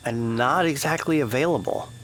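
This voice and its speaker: A man's voice: medium pitch, normal speed, fairly bright tone, slight background noise, natural voice, poor audio. A man playing a conscientious, guarded male researcher who sounds earnest and worried, in an audio drama.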